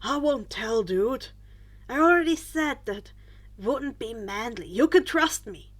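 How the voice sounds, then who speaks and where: A woman voicing an eager, earnest, excitable teenage boy who prizes manliness, in a story reading. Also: on the high side, measured pace. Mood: earnest, reassuring. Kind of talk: podfic character voice.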